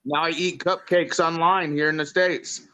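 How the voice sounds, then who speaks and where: A man's voice: on the high side, flat delivery, moderate pace, a crowd in the background. A man from India, joking and teasing crudely on an online panel, brash and rowdy. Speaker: panel participant.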